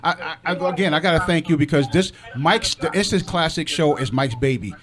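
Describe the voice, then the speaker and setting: A man's voice: a little high, a little fast, crowd noise. A middle-aged or older man co-hosting a casual live radio show, effusive and repeating phrases for emphasis. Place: radio studio with guests. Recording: other voices talking around him.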